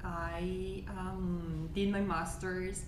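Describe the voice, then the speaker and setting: Quick-talking woman from Colombia. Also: lowish voice, level tone. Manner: quick, with many fillers. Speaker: podcast co-host. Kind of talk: casual podcast chat. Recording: echoey room, audible noise.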